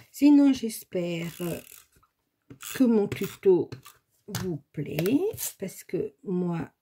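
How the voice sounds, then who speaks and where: A woman's voice: on the low side, gravelly, warm tone, slight background noise. A woman with a slight cold, chatting casually while she demonstrates a paper craft in her home workshop. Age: 40s to 60s, has a granddaughter. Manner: chatty, thinks aloud, frequent "hop" and "voilà". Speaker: crafts tutorial host. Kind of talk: casual step-by-step craft tutorial.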